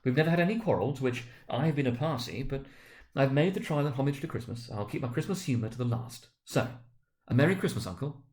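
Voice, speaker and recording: A man voicing a cheerful, warm-hearted young nephew, speaking with earnest, pleading feeling in a dramatic storybook reading. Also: mid-range voice, lively intonation, quick pace, voice pushed up, lightly acted. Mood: hurt but hopeful.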